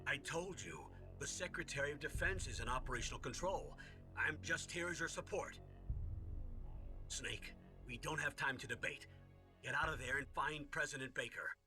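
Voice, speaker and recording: A man's voice: on the high side, moderate pace, pushed and bright, soft background music. A man acting a stern, defensive colonel commanding a mission in a video game, firm and authoritative. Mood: stern, insistent.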